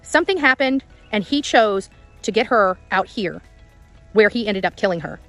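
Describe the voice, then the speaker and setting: A woman's voice: medium pitch, expressive, normal speed, a bit bright, no room sound, faint music behind. A woman doing unscripted vlog-style reporting outdoors, often repeating her phrases. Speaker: amateur on-site reporter. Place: outdoors in quiet rural area.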